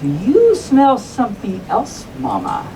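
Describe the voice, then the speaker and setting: A woman voicing a suspicious storybook father bear in deliberate questions, picked up by a distant mic in a crowded room. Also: medium pitch, huge pitch swings, dark tone, a little hiss or hum. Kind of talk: storybook read-aloud.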